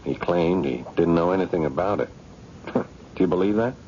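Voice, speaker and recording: An actor playing an uneasy, skeptical married man caught up in intrigue, earnest and halting, in a 1970s radio drama. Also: sits low, warm tone, natural voice. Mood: worried, insistent. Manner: earnest, halting when pressed. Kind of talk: 1970s radio drama acting, first-person narration. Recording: dull, faintly noisy old radio recording.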